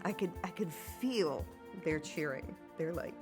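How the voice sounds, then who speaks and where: A woman's voice: low voice, animated, measured pace, music in the background. A woman, a Paralympic skier, tells her own story in a documentary interview, speaking candidly and often breaking into laughter mid-sentence.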